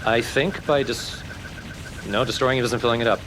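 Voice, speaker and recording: A man's voice: medium pitch, steady tone, moderate pace, noisy background. A man giving casual, explanatory gameplay commentary in an informal way. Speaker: let's play commentator. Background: game music.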